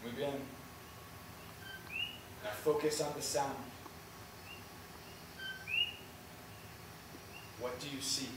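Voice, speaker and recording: A man's voice: mid-range voice, steady tone, unhurried, natural voice. A man acting an elderly, proud Puerto Rican storyteller on stage, speaking in a solemn, declamatory way. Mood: gentle, guiding. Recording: echoey stage, far microphone, faint noise.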